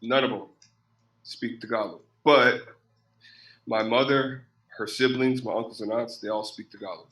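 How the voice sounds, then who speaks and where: Male co-host, from the US, excitable, repeats himself, echoey room. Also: medium pitch, moderate pace. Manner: animated, repeats words in bursts. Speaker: podcast co-host. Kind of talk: casual interview chat.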